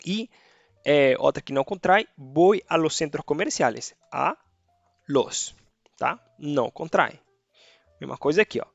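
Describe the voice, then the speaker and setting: A man's voice: on the high side, lively intonation, measured pace, vocal fry, long pauses, no room sound, muffled recording, soft background music. A man whose first language is Spanish, teaching Spanish grammar in a casual online lesson and checking in often with his viewers. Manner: chatty, checks in with tá and beleza.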